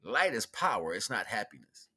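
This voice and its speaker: A man's voice: fairly low pitch, very animated, moderate pace, bright, forceful tone, slightly rough. An American man giving a rambling, emphatic, freeform spiritual monologue in casual street slang, swearing freely. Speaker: livestream host, self-styled spiritual teacher.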